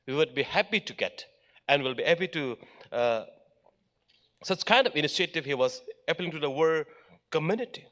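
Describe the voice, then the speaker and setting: Man, middle-aged, formal speech, emphatic short phrases, lively rising and falling voice, slightly high. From Meghalaya, India. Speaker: official speaker at an education event.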